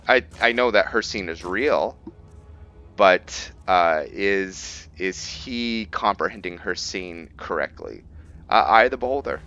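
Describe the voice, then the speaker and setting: A man giving casual gameplay commentary and explaining character lore, haltingly thinking aloud as he goes. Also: sits low, sharp tone, muffled recording. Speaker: gameplay host and role-player.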